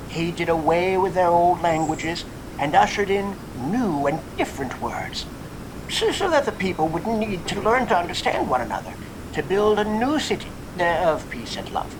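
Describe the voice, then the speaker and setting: A man playing a proud, wistful traveler who speaks in florid, old-fashioned phrases in an audio drama. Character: proud, wistful male traveler praising his home city. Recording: noisy, constant low rumble behind.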